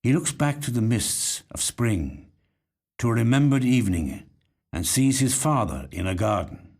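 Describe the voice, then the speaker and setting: Animated male narrator reading literary fiction. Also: mid-range voice, unhurried. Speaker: actor reading a short story. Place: recording studio.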